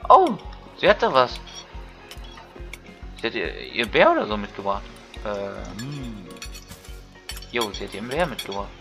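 A man's voice: lowish voice, big pitch swings, unhurried, pauses often. A man giving casual let's play commentary, thinking aloud in colloquial speech. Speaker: gaming video creator. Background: game music.

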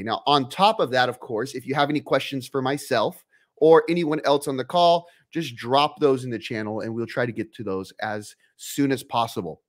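Upbeat man hosting with a stuffy nose. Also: medium pitch. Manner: upbeat, energetic, gestures while talking. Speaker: host. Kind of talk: live stream hosting. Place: home workshop studio. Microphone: headphones and studio mic on boom.

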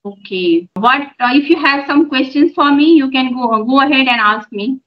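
High-voiced woman on a dull call line. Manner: measured, encouraging, with filler pauses. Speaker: YouTube channel host and mother. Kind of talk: English conversation practice on a video call. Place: room at home. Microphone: phone on a video call.

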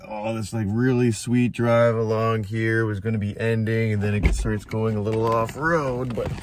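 A man in his thirties talking casually to a handheld camera about his travels, chatty and relaxed, full of fillers. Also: on the low side. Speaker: travel vlogger. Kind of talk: casual vlog talk to camera. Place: inside an SUV and outdoors by a coastal beach. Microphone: handheld phone or camera at arm's length.

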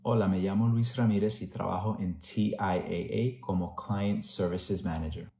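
A man with a deep, gravelly voice presents himself and his own experiences to camera. His tone is dark and mellow. The room around him echoes. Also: fairly even intonation, moderate pace. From United States. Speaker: employee volunteer presenter. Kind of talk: personal introduction and anecdote to camera. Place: small room at home, indoors.

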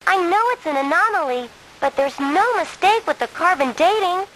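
A woman's voice: very high voice, lively intonation, moderate pace, fairly bright tone, rough recording. A woman voicing a pert young mission support operative in a video game, with a teasing briefing manner. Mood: informative. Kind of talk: video game cutscene acting.

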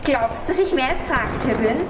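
A woman's voice: high voice, animated. A woman playing a frustrated young girl soldier who passes as a boy, in dramatic stage declamation. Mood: bitter. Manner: slow, dramatic delivery. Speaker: performer in a student music theatre piece. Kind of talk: experimental opera stage declamation. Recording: echoey, distant, clearly noisy room.

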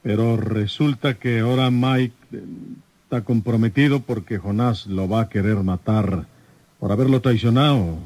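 An actor playing a plain-spoken, folksy rural detective in an old radio serial. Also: male voice, on the low side, a little mellow, no room sound, lightly acted. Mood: earnest. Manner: folksy, halting.

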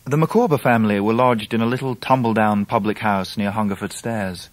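An actor playing a reflective English gentleman, narrating his life in a radio drama in a measured, sombre way. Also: lowish voice, no room sound, lightly acted. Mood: sober. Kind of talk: dramatised first-person narration.

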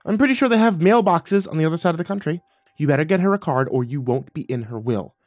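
Man playing older mother, higher swooping pitch, nagging, pouty, accusatory, audio story, music behind. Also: expressive, fast talker, dry recording, natural voice. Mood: scolding. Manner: accusatory, guilt-tripping, pointed. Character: nagging passive-aggressive older mother, pouty and guilt-tripping.

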